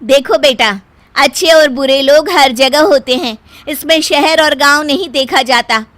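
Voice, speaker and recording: A principled neighbour auntie, voiced by a woman storyteller, speaking high and emphatically. She admonishes like a firm elder in a domestic storybook. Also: a little slow, a bit bright. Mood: admonishing.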